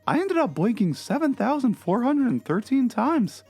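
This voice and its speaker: A smarmy, melancholy blogger played by an actor reads a comic diary with theatrical seriousness. The voice is husky and leaps around in pitch, over faint music. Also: big pitch swings, normal speed, darkish tone. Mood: melodramatic. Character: gloomy, smarmy, oddball blogger, self-absorbed and melancholy. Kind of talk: dramatic reading of a comic blog. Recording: music under the voice.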